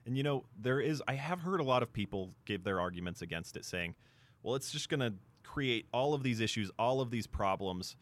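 A man's voice: medium pitch, lively intonation, fairly quick. A man co-hosting a sports talk show, explaining things point by point in a discussion. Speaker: sports radio show co-host.

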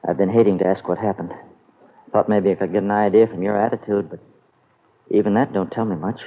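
An actor playing a worried, blunt middle-aged farmhand in an old-time radio serial. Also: male voice, fairly low pitch, steady tone, a little fast, lightly acted. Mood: uneasy, probing. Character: Lefty, worried, blunt older farmhand father. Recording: thin, muffled vintage radio recording.

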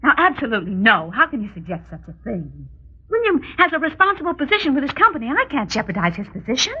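Guarded proper wife in an old radio play, clipped and defensive, swooping pitch, dull lo-fi sound. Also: female voice, sing-song, quick pace, husky, full character voice. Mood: firm, agitated. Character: proper, guarded wife, stubbornly disbelieving, easily affronted. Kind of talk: old-time radio drama acting. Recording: dull, lo-fi vintage radio recording.

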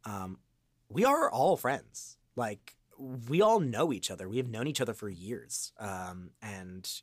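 A man's voice: on the low side, big pitch swings, slow pace, dry recording. Earnest young man, very dry close sound. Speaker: voice actor, podcast guest. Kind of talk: casual reflective interview chat.

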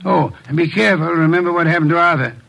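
A shrewd, condescending detective in an old radio mystery. His voice sits fairly high with a gravelly creak, and he speaks in formal, precise, pompous sentences. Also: steady tone, fast, a bit bright. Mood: brisk, authoritative. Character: shrewd, imperious middle-aged detective, dry and condescending. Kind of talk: classic American radio mystery acting. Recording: old radio drama recording.

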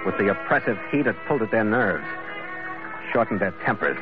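An actor playing a firm, weary American ship's master in a 1940s radio adventure, with a terse, hard-boiled delivery. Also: male voice, lowish voice, expressive, a little fast, lightly acted. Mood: terse, brooding. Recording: muffled old radio recording with loud music.

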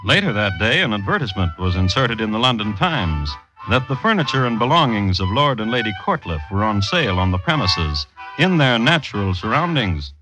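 An actor playing a droll, scholarly crime storyteller, narrating formally and deadpan in 1950s radio style. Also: male voice, on the low side, a little fast, few pauses, over music, low-quality recording. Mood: dry, droll. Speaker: host and narrator.

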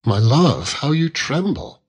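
A man voicing a fond, earnest young Victorian husband who gently reproves and reasons, in a storybook reading. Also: medium pitch, sing-song, slow, slightly rough, pitched above the performer's own voice, full character voice. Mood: earnest, reproving.

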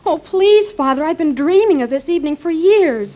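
Eager teenage daughter in old radio play, really high girly voice, pleading, muffled recording. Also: animated, moderate pace. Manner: earnest, girlish, pleading. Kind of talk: old-time radio drama acting.

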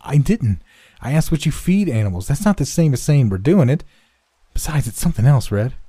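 A man's voice: lowish voice, fairly quick, dark tone, breathy edge, dry recording, studio quality. A man voicing an anxious young boy in a story, urgent, pleading and easily exasperated.

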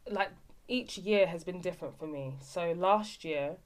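Young woman actress chatting about her work, a bit low voice, keeps restarting her sentences. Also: unhurried. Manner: animated storyteller, trailing restarts. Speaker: actress guest. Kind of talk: casual interview chat.

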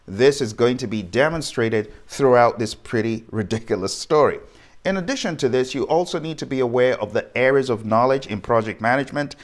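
A man's voice: mid-range voice, fairly quick. Man teaching informally, talking straight to listeners. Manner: rhetorical questions, addressing the listener directly. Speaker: project management instructor. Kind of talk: informal educational lecture.

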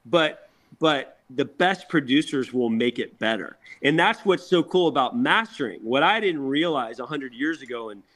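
A man's voice: mid-range voice, animated, moderate pace, a bit bright. A middle-aged man from a rock band chatting casually in an interview, enthusiastic and slangy, often repeating his words.

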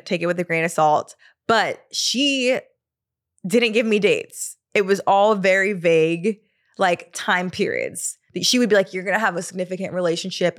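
A woman's voice: mid-range voice, fairly bright tone. Casual podcast host lady, 30s, rambly with lots of likes and ums, giggly. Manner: chatty, laughs often, trails off with "like" and "um". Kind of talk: casual solo podcast chat.

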